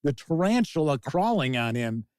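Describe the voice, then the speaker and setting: A man's voice: a little high, big pitch swings, normal speed, a little mellow, clear, resonant tone, no room sound, studio quality. A man with a Chicago accent hosting a casual livestream of tabletop gaming news, chatty and jokey as he talks with his chat. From Chicago, USA. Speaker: host.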